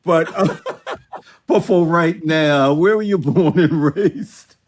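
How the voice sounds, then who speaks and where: A male podcast host with a flamboyant, teasing manner and drawn-out exclamations. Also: a little high, slight background noise. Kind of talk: flamboyant, flirtatious interview banter.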